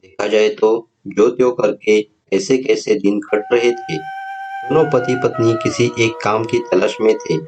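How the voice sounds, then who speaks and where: A man tells a moral story from a script. His voice is fairly low, and he speaks as the narrator. Music plays behind him. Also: steady tone, measured pace.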